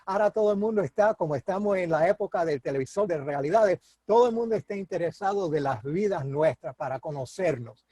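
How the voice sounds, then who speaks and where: Man, interviewer, jovial, rambling questions, fairly high voice, dry sound. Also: brisk, dark tone, no room sound. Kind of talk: casual interview chat.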